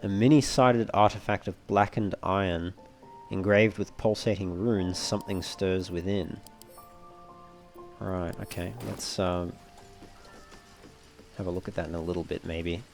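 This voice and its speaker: A man's voice: deep voice, fairly even intonation, measured pace, long pauses, faint music behind. A man giving casual commentary over a video game, sometimes reading item descriptions aloud. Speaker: let's play host.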